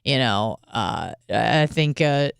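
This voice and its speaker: A woman's voice: extremely low pitch, lively intonation, slow pace, creaky, clear, resonant tone, no room sound, studio quality. A woman hosting a call-in radio show, talking casually with lots of fillers and swearing. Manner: rambling, profane, frequent fillers.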